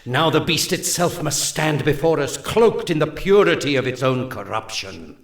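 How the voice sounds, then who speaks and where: An actor playing a solemn, zealous alien elf war leader in a video game, delivering mission briefings in grandiose, archaic oratory. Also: male voice, a little high, animated, moderate pace, gravelly, reverberant. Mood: stern, rousing.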